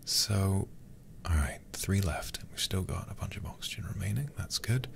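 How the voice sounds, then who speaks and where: Low, creaky, whispery man streaming a game. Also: animated, normal speed. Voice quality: breathy, often whispered. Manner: hushed, muttering to himself, sweary. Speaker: livestreamer. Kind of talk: ASMR horror game livestream, casual commentary.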